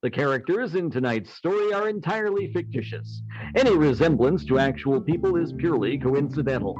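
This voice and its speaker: A man's voice: fairly high pitch, animated, fairly quick, darkish tone, highs cut off, soft background music, lightly acted. A man playing a polished, upbeat network announcer in 1940s old-time radio style. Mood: upbeat, formal.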